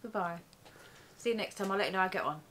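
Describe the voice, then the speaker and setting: A woman's voice: medium pitch, rapid-fire, fairly bright tone, a little hiss or hum. A middle-aged woman chatting casually on a bed, blunt and teasing and swearing freely. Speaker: vlog co-host. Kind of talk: casual chat. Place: bedroom, sitting on a bed.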